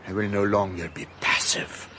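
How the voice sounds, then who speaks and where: A man's voice: lowish voice, little pitch movement, moderate pace, bright, forceful tone, slight background noise. An actor playing a shaken foreign man who speaks in a formal, solemn way. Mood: solemn resolve.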